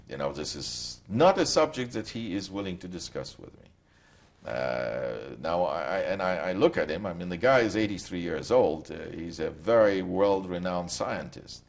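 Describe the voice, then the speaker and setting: An older man recounts his memories in a slow, reflective way, often pausing to find his words. His voice sits very low. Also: animated, creaky. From Poland. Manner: reflective, halting storytelling. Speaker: interviewee. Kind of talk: recorded testimony.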